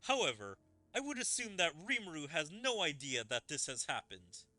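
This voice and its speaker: A man acts out a stern fantasy king with a formal, commanding manner. The voice is bright and forceful, with animated swings in pitch. Game music plays softly behind the measured, authoritative lines. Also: no echo, full character voice. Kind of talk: reading game dialogue aloud in character.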